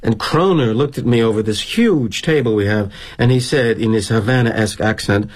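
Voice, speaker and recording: An actor playing a weary, sardonic middle-aged corporate executive, speaking in a wry, confiding monologue. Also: male voice, medium pitch, normal speed, lightly acted. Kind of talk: theatrical monologue.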